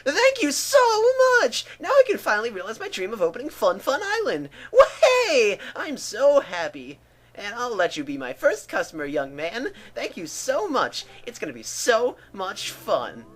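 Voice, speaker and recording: A man voicing a huge clown man in a video game, gushing and squealing loudly. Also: extremely high pitch, huge pitch swings, measured pace, voice pushed up, exaggerated voice. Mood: overjoyed.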